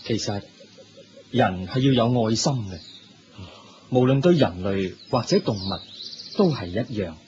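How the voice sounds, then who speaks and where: A man's voice: lowish voice, expressive, a little slow, long pauses, a little mellow, slight background noise. A man with a Hong Kong Cantonese accent playing a wise, courteous Buddha teaching in a radio drama. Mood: kindly, instructive. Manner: didactic, courteous. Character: wise, kindly Buddha telling moral tales to travellers. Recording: dull lo-fi recording. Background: prominent music.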